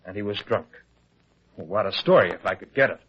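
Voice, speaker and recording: An actor playing an anxious, colloquial, fast-talking newspaper reporter in old-time radio detective style. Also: male voice, on the low side, slight background noise, lightly acted. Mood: eager, conspiratorial. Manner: colloquial, excitable, hesitant when pressed. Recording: dull, lo-fi old radio drama recording.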